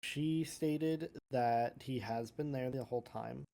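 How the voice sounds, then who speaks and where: A player voicing a calm, thoughtful adventurer in improvised game roleplay, explaining things in a measured, slightly hesitant way. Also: mid-range voice, gravelly. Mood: measured, doubtful.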